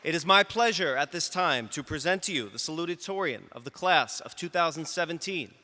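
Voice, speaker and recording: A man with a bright, fairly high voice introduces the next graduation speaker in a formal, proud tone. His words ring out with a long echo across the large arena. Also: lively intonation, a little fast. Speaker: school official introducing speakers.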